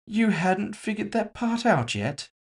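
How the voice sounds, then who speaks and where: A man's voice: sits high, sing-song, unhurried, pitched above the performer's own voice, full character voice. A man voicing a composed, villainous woman executive in audio fiction, casually polite, mocking and condescending. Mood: amused, condescending. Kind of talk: audio fiction character dialogue.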